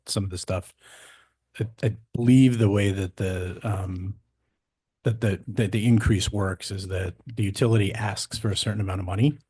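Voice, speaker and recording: A man speaking as a board member at a public meeting, measured and agreeable, restarting his phrases often. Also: fairly low pitch, warm tone, breathy edge.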